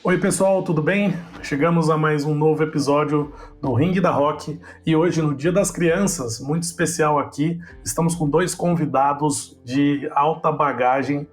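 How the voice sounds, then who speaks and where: A man hosting a podcast in an upbeat, friendly way with quick asides. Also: fairly high pitch, soft background music. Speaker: podcast host.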